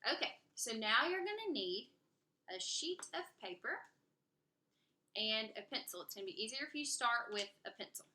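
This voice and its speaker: A woman teaches drawing casually, one step at a time. Her voice is bright and slightly high. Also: big pitch swings, normal speed.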